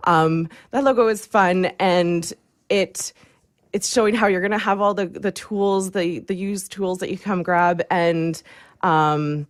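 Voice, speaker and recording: A woman's voice: lowish voice, steady tone, a little slow, no room sound. A woman chatting casually as a guest in a radio interview. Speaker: guest, graphic designer.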